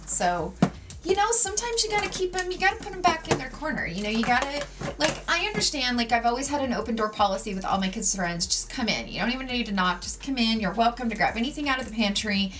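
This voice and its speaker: A woman in her forties chatting while she does her makeup at home, her voice pitched a touch high and swinging up and down a lot. She tells gossipy stories in a casual, animated way, sprinkling in plenty of "like"s. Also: fast, background noise. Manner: gossipy, animated storytelling with many "like"s. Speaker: beauty vlogger. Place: home, sitting at a makeup table.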